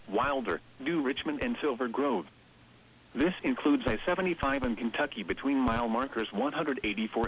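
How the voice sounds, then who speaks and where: A synthetic man's voice reading a scripted emergency weather bulletin in a measured, impersonal way over weather radio. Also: medium pitch, slightly rough. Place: automated radio broadcast. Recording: muffled, lo-fi weather radio broadcast.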